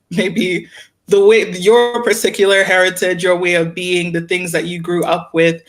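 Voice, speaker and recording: A man's voice: sits high, fairly bright tone. A man casually interviewing a guest on an online call, phrasing questions tentatively with halts and rewordings. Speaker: webinar host, interviewer.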